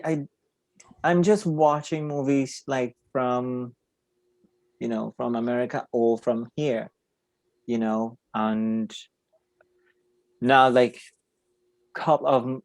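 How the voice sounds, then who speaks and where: A man's voice: mid-range voice, steady tone, slow, long pauses, a little mellow, no room sound. A man with a Portuguese accent, a native Portuguese speaker, hosting a casual interview and often searching for words. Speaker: podcast host.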